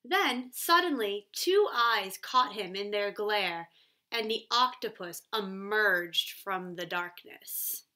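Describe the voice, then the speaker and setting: A woman in her twenties, a teacher reading aloud and explaining a story to children in a deliberate way. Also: medium pitch, lively intonation, bright, forceful tone. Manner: deliberate, explanatory, checks in with "right?". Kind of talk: children's read-aloud lesson. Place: small room at home, indoors.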